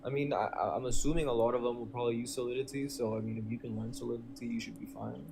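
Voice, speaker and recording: A man's voice: mid-range voice, steady tone, fast. Young man on a noisy stream. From born in Venezuela, raised in Spain. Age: 20s. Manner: laid-back slangy speech, says "man" and "bro" often. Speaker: crypto live stream participant. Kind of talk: casual chat. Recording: clearly noisy live stream audio.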